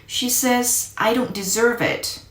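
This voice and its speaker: Storybook kid voiced by a woman: young boy, animated, excitable, slightly sheepish, raised pitch, roomy echo. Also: takes it slowly. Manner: animated, exclaiming. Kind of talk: storybook character voice. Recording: echoey room.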